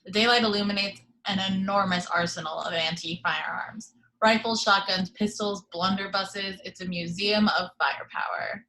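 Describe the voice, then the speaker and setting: A woman reading the scene's action descriptions in a steady, matter-of-fact way over a video call. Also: medium pitch, moderate pace, few pauses, a little hiss or hum, rough recording. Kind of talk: reading stage directions at a table read.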